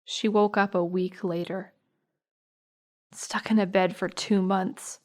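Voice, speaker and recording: A young American woman playing a sympathetic, earnest high school girl recalling a friend in a naturalistic audio drama. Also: flat delivery, slow pace, darkish tone, lightly acted. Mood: somber, earnest.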